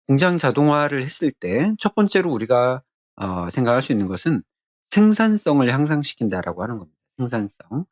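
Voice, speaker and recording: A man giving an explanatory technical lecture as an instructor, speaking haltingly and repeating his key phrases. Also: mid-range voice, very animated, no room sound, lo-fi sound.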